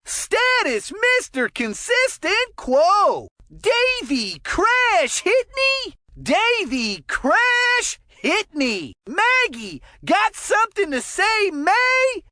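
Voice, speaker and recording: An actor playing an excitable cartoon hockey commentator, calling out player names with punchy, drawn-out delivery. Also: extremely high pitch, dry recording, clean studio sound, exaggerated voice. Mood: excited announcing. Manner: drawn-out, punchy name calls. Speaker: sports game commentator.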